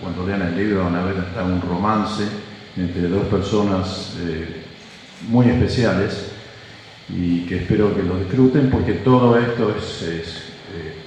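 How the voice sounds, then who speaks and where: A man's voice: sits low, measured pace, darkish tone, slight background noise. A man giving a rambling public speech at a book presentation, hesitant, with many fillers and restarts. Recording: echoey hall, from far off.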